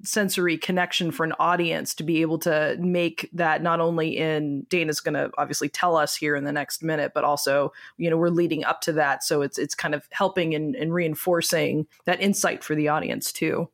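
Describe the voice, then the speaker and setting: This podcast co-host speaks at a medium pitch. The talk is casual film discussion with an analytical bent. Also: flat delivery, moderate pace, few pauses, fairly bright tone.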